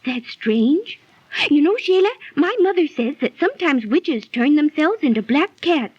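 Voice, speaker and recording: A woman's voice: high-pitched, animated, a little slow, dry recording. An actress playing a nervous, superstitious young village girl, emphatic and dramatic in 1930s radio style. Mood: worried. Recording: dull, dry 1930s radio recording.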